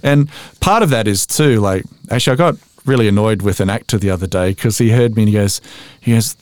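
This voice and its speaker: A man's voice: lowish voice, fast talker, darkish tone, dry recording. Self-deprecating Australian man, close dry sound. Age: 40s. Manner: chatty, self-deprecating, tells anecdotes with acted-out quotes. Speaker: voice-over artist being interviewed. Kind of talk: casual podcast interview.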